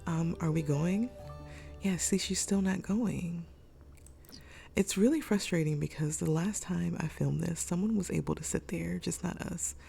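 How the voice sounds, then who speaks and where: Low, gravelly woman with a cold. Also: measured pace, dark tone, faint music behind. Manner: chatty, thinking aloud with many ums. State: raspy from a cold. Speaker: gaming YouTuber. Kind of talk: casual let's-play commentary. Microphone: desk microphone.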